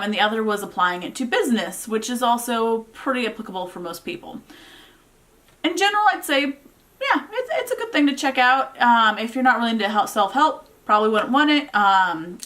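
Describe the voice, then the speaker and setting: A woman's voice: medium pitch, fairly bright tone. Casual woman chatting through a review. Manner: conversational, informal, thinking aloud. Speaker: book reviewer, vlog host. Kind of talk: casual unscripted review talk to viewers.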